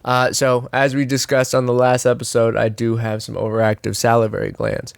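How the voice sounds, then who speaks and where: A man's voice: mid-range voice, monotone, a little fast, vocal fry, dry recording. Young guy w a nasal throaty voice, jokey rambly podcast chat, dry mic. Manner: joking, self-mocking, rambling with fillers. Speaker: podcast co-host. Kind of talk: casual chat.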